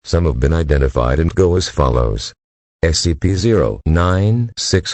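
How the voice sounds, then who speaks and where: A man's voice: extremely low pitch, darkish tone, husky, no echo. A man reading a scripted emergency broadcast announcement in a formal, stiff manner.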